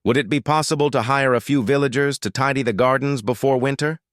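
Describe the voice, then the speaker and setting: A British man voicing a kind, earnest young fairytale woman with a soft, polite way of talking. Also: mid-range voice, steady tone, fast talker, no echo, clean studio sound, pitched above the performer's own voice, natural voice. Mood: polite, hopeful.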